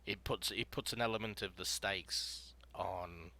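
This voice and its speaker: A man hosting a writing podcast panel, explaining prompts and telling scenes out loud in a casual, rambling way. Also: deep voice, steady tone, measured pace. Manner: explanatory, storytelling, filler-heavy.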